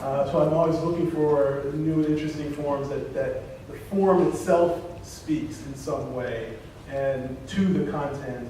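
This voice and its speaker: A man's voice: fairly high pitch, measured pace, hardly any pauses, dark tone, a little hiss or hum. A man, a poet on a panel, answering audience questions in a thoughtful, searching way with many restarts. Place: conference room. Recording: echoey room, far from mic.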